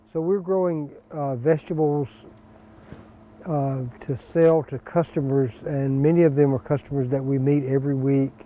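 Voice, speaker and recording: Older farmer guy from north carolina, talks slow w lots of uhs, real dark warm tone. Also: mid-range voice, steady tone, slight background noise. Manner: slow, unhurried, with many pauses and fillers. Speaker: organic vegetable farmer. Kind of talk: documentary interview.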